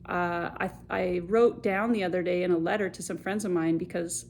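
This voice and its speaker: A woman hosting a children's story time, reading and chatting in an expressive, conversational way straight to young viewers. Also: on the low side, normal speed, vocal fry, slight background noise. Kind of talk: picture-book read-aloud with chatty asides.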